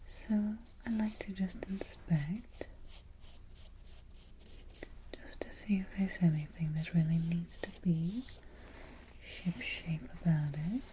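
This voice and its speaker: A haughty evil queen, acted by a woman murmurs in a soft whisper, low and mellow. She is prim and exacting, giving orders slowly in an ASMR roleplay, close on the mic with faint noise behind her. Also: lightly acted, poor audio. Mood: composed, exacting. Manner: unhurried, exacting, politely imperious. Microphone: close ASMR microphone.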